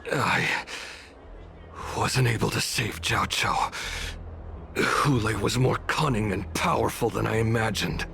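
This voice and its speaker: A man's voice: lowish voice, takes it slowly, gravelly, bright, forceful tone, a little hiss or hum, exaggerated voice. A man voicing a grave, wounded warrior in a video game, speaking in a labored way. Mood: pained, remorseful. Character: grave, wounded male warrior reporting to his general.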